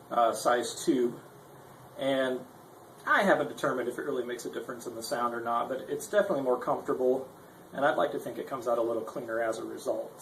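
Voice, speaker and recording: A man giving an informal, unscripted gear demonstration in a rambling, self-deprecating, conversational way, as the presenter of a guitar tutorial. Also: medium pitch. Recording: echoey room with faint noise.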